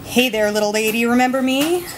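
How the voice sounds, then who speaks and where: A woman giving a live comic reading of humorous personal essays at a bar, in a dry, deliberate delivery. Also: a little high, slight background noise. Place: bar reading event before an audience.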